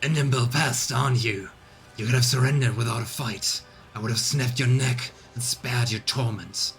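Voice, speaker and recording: A man voicing a boisterous, malicious warrior from a game, rumbling and gloating. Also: normal speed, a bit bright, soft background music, close to an everyday voice. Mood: gloating menace. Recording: clear background noise.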